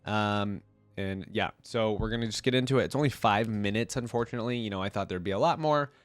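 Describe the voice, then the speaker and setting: Nasal, low-voiced man with a head cold. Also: quick pace, clear, resonant tone. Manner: rambling, hedging, informal. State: congested with a cold. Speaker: reaction video host. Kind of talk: casual fan commentary.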